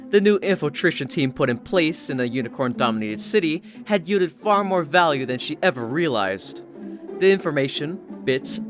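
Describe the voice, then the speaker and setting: A man narrates a fantasy story in a slightly high register. His delivery is scripted storytelling, and music plays clearly underneath his voice throughout. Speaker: audiobook-style story narrator. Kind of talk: scripted fan fiction narration. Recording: music playing behind the voice.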